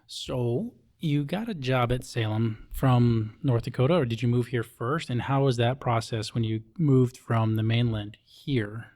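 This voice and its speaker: A man's voice: medium pitch, a little slow, hardly any pauses, darkish tone. A man hosting a casual, chatty interview. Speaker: interview host. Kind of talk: casual chat.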